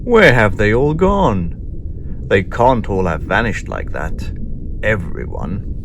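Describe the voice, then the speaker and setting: Man performing greedy storybook shark, sneering, sly, mock-polite, animated pitch, noisy recording. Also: takes it slowly. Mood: grumbling. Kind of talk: children's storybook character voice.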